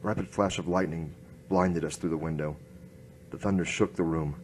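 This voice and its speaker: A man playing a grim, hard-boiled noir inspector, narrating his case in clipped, deadpan radio-drama style. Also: low voice, moderate pace, a little hiss or hum, lightly acted, low-quality recording. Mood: tense, suspenseful. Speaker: narrator and lead actor.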